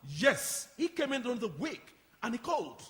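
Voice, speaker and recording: A man's voice: extremely high pitch, sing-song, moderate pace, fairly bright tone, full character voice. An actor playing an unbothered, matter-of-fact committee man in a stage play. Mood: defiant. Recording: reverberant live hall performance.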